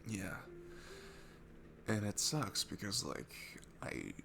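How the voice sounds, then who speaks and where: A man playing a shy, nervous, sweet guy at a bar in an intimate ASMR roleplay, stammering and rambling apologetically in a low, gravelly, breathy voice. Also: expressive, takes it slowly, background noise. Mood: self-deprecating, confiding. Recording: music behind the voice. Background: music.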